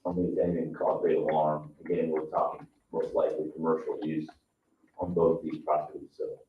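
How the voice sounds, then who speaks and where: Very deep American man in echoing meeting room. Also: monotone, brisk. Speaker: official advising at a meeting. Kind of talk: informal meeting discussion. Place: indoor town meeting room. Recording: roomy, distant mic, dull, faint hiss.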